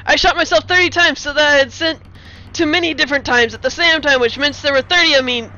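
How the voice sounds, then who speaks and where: A woman's voice: high-pitched, fast, sharp tone, lo-fi sound. A woman playing a scheming, boastful male villain and love rival in a comic screenplay reading. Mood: defiant.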